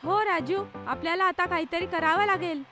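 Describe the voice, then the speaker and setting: Very squeaky, supportive cartoon schoolgirl. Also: normal speed, pushed and bright, soft background music. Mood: urging. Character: supportive, caring little schoolgirl Chinki. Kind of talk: children's cartoon dubbing.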